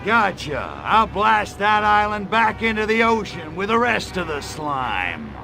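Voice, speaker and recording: A man playing a cocky, crude, trigger-happy alien invader in a video game, with a gravelly voice and a sarcastic drawl. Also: high voice, very animated, a little slow, noisy background, exaggerated voice. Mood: swaggering. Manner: sarcastic, smart-alecky drawl. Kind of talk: video game cutscene acting.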